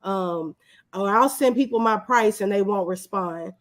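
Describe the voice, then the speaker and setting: A woman in her thirties with a medium-pitched voice, chatting as a guest on a show. She speaks candidly and humbly, reflecting on her own experience in a casual conversational style. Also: measured pace. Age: 30s. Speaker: guest, videographer.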